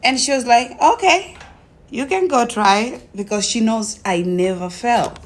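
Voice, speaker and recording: A young woman with a Tanzanian accent tells her own story casually, in an animated way, acting out conversations as she goes. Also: fairly high pitch, unhurried, a little hiss or hum. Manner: animated retelling, acts out quoted conversations.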